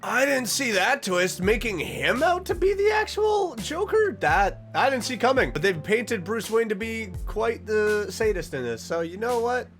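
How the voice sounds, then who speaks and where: A man with a fairly high voice reacts casually as a video host, commenting in short, informal bursts. Music plays softly behind him. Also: very animated, moderate pace, hardly any pauses.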